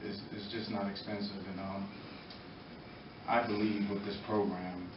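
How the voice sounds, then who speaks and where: A middle-aged American man giving earnest, halting, impromptu testimony at a public meeting, pausing between short phrases. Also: on the low side, level tone. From Norwalk, Connecticut, United States. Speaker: former boxer speaking in support of a youth program. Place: indoor meeting room, far from the mic. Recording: echoey, muffled, distant, noisy room recording.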